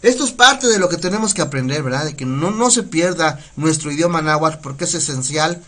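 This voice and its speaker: A middle-aged Mexican man with a Mexican Spanish accent teaches vocabulary on a live stream, chatty and quick to laugh. His voice sits high for a man and sounds bright and forward. He slows down and repeats each word carefully so listeners can follow. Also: animated. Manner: chatty, laughs often, repeats words slowly to teach pronunciation. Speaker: community radio host teaching Nahuatl. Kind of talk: casual live language lesson, reading viewer comments.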